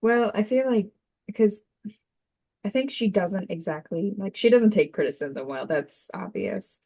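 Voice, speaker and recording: A woman's voice: medium pitch, lively intonation, unhurried, warm tone. A woman student giving thoughtful answers in casual chat, tentatively searching for her words. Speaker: voice acting student.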